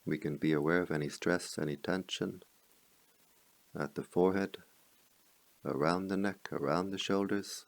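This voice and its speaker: A man leading a guided meditation as a teacher, speaking in slow, measured phrases with pauses between them. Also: deep voice, steady tone, creaky, dry recording.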